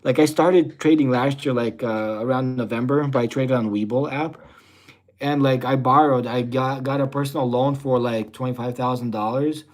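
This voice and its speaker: A man's voice: mid-range voice, fairly even intonation, moderate pace. A young man from Russia chatting casually on a livestream, answering viewer questions with loose rambling talk full of fillers. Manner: rambling, heavy fillers like "you know" and "type deal". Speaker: livestream host.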